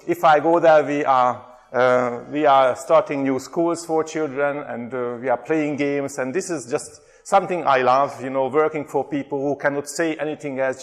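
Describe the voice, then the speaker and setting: Middle-aged Hungarian man, slightly raised voice, running an interactive workshop with lots of ums. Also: on the high side. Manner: chatty, frequent hesitations, asks the audience questions. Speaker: workshop presenter, theology lecturer.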